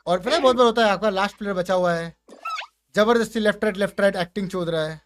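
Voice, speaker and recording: A man's voice: high voice, fast talker, slight background noise. An Indian man talking casually and informally as a gaming creator, slipping between Hindi and English with plenty of slang. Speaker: gaming content creator presenting his voice pack. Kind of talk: casual commentary with demonstrations.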